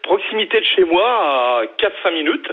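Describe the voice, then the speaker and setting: A husky man in his fifties calls in over a thin, tinny phone line. He is from Brittany and speaks with a slightly raised pitch, stopping and restarting his words as he goes. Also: sing-song. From Brittany, western France. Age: 50s. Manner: halting, repeats and restarts words. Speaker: radio caller. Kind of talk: phone-in call.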